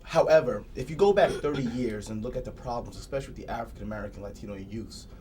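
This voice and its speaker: A man's voice: mid-range voice, fast talker, darkish tone, slight background noise. A man, a candidate making his case earnestly in a panel discussion. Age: 20s. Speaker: council candidate guest. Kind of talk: political discussion.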